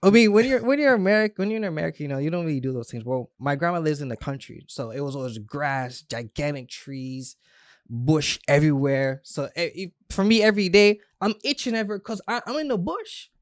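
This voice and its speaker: A man's voice: on the high side, fairly quick, dry recording, clean studio sound. A young man who grew up between Trinidad and Florida, chatting casually as a podcast guest, laughing often as he tells stories. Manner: laughs often, relaxed storytelling with restarts. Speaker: stylist guest. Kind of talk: casual interview chat.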